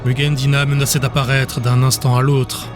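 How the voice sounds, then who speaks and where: A man's voice: medium pitch, level tone, normal speed, over music. A man reading scripted dramatic narration as the narrator of an audiobook.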